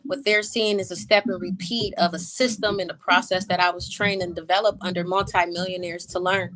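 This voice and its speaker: A woman's voice: lowish voice, flat delivery, fairly quick, a bit bright, slight background noise, rough recording. A woman guest on an interview show, talking through her businesses in a confident, driven way. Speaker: guest entrepreneur. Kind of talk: interview answers.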